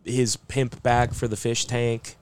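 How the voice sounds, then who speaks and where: A man co-hosting a loose comedy podcast, telling stories in a halting, rambling way. He restarts his sentences often and fills the gaps with ums and likes. His pitch sits in a middle range for a man. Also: level tone, a little hiss or hum. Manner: halting, many restarts and fillers. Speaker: podcast co-host.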